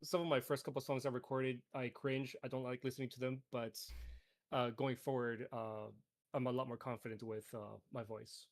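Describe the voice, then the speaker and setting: A man, a musician, introducing himself casually in a hesitant, halting way. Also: medium pitch, flat delivery.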